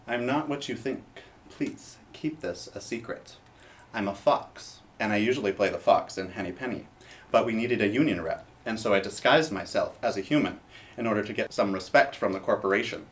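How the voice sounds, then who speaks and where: A man's voice: on the low side, moderate pace, close to an everyday voice. A man playing a secretive fox disguised as a human union rep, speaking furtively and earnestly in a comedy mock interview. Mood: confiding.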